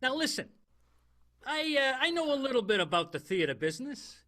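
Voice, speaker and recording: A man playing a halting, deliberate 1920s police detective in an improvised comic radio play. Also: very high voice, sing-song, pushed and bright, full character voice. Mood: deliberate, authoritative. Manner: halting, deliberate, quasi-hardboiled patter. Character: folksy, star-struck police detective in 1920s vaudeville New York.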